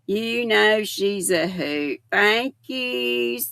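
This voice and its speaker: A woman's voice: mid-range voice, lively intonation, drawn-out words, bright, forceful tone, dry recording. An older woman, hosting a casual livestream and chatting with viewers. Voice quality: gravelly. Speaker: livestream host. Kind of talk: casual chat with live viewers.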